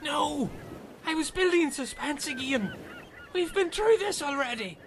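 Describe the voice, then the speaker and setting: A man's voice: extremely high pitch, expressive, measured pace, a bit bright, a little hiss or hum, heavily acted. A man playing a touchy, boastful, eager castaway in a comic radio play. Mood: indignant.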